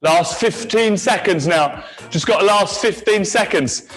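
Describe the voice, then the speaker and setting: A man working as a fitness instructor, leading a live high-intensity class with encouraging talk, countdowns and short barked cues. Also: a little slow, fairly bright tone. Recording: music playing behind the voice.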